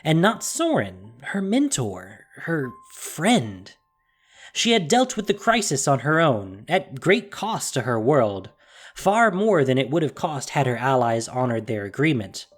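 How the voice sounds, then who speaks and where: A woman's voice: very deep voice, big pitch swings, unhurried, slightly rough. A woman narrating a fantasy story in a solemn, emphatic, dramatic scripted style. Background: music.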